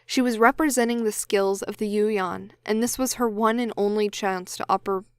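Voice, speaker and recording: Clear, smooth woman narrator, dry sound. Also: medium pitch, fairly even intonation, normal speed, no room sound. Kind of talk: scripted audiobook-style narration, blooper takes.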